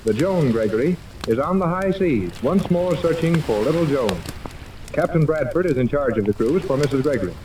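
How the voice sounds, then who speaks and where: A man announcing a 1930s radio serial in scripted narration. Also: fairly high pitch. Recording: distant, echoey, noisy old radio recording.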